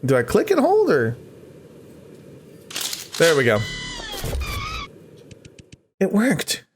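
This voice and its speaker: Animated, higher-voiced man playing games. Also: drawn-out words, noisy background. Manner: reactive, interjecting, talking to viewers. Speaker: gaming YouTuber host. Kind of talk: casual let's-play commentary, reading game text aloud.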